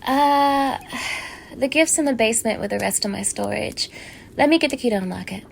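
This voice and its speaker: A woman's voice: fairly high pitch, normal speed, vocal fry, slight background noise. A woman acting in an intimate audio roleplay as a teasing, fake-friendly young neighbour with obsessive menace under her casual, coaxing talk. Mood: coaxing, fussy. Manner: casual, coaxing, teasing, with small laughs and hushed asides.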